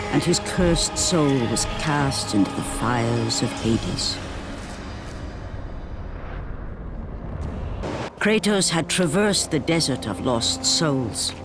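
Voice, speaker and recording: A male actor delivering grave, dramatic narration of an ancient Greek war legend in a deliberate, solemn style. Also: on the high side. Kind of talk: solemn epic scripted narration. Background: dramatic music.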